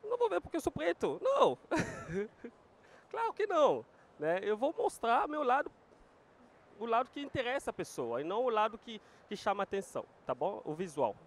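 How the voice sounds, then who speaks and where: Very animated high-voiced man. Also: moderate pace. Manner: emphatic, animated. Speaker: panel guest. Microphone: handheld mic.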